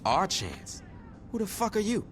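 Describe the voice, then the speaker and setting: An actor playing a tough, vengeful, foul-mouthed street gang leader in a video game. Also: male voice, sits high, huge pitch swings, measured pace, soft background music, heavily acted. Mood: defiant. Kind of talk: video game cutscene acting.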